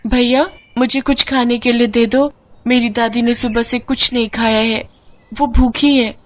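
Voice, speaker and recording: A woman's voice: on the high side, steady tone, unhurried, a little hiss or hum, natural voice. A woman voicing an innocent, worried little orphan girl in a story, earnest and pleading.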